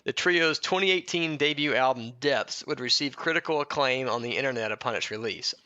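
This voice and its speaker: A man's voice: mid-range voice, a little fast, sharp tone, dry recording, muffled recording. A man co-hosting a comedy podcast, mixing mock-biography reading with joking, irreverent asides and prone to breaking into laughter. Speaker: podcast co-host.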